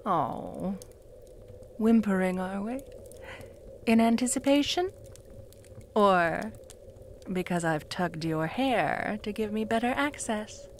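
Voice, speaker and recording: A woman voicing a cruel, jealous female vampire who taunts the listener in a slow, purring voice that often drops to a whisper. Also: mid-range voice, a little hiss or hum, close to an everyday voice. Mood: menacing, hungry. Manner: taunting, with soft mocking laughs. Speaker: voice actor in audio roleplay. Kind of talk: audio roleplay addressed to the listener.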